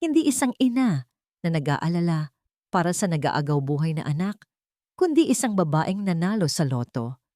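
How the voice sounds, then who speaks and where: A woman's voice: on the low side, big pitch swings, normal speed, mellow, dry recording, studio quality. A woman reading a scripted, dramatic story as its narrator.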